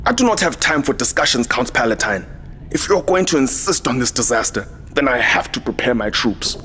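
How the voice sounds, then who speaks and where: An actor playing a weary, hot-tempered military security chief in a science fiction audio drama, speaking in a terse, dramatic way. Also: male voice, mid-range voice, sing-song, fairly quick, pushed and bright, a little hiss or hum. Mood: angry, urgent. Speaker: lead actor and first-person narrator. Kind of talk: audio drama acting and narration.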